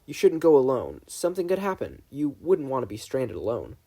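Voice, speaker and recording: Man reading as an earnest, husky young friend. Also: medium pitch, wildly swooping pitch, a little fast, mellow, pitched above the performer's own voice, full character voice. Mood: concerned. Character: earnest, caring, reassuring young male friend.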